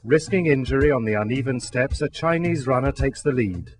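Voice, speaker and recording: A man reading scripted documentary narration about a race in a steady, measured way. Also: medium pitch, over loud music.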